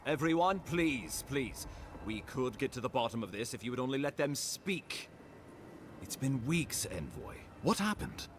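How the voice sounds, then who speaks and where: Expressive, earnest male leader. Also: mid-range voice, measured pace, noisy background. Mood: pleading. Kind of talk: video game cutscene dialogue.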